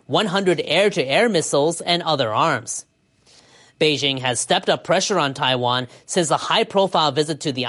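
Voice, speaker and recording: A woman news anchor reading scripted reports in a measured, formal delivery. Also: low-pitched, a bit bright.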